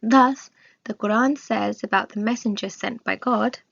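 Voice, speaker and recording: A woman reading a scripted religious study aloud in a measured, formal way. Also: on the high side, creaky, a bit bright. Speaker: reader of religious study text. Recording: dull, lo-fi sound.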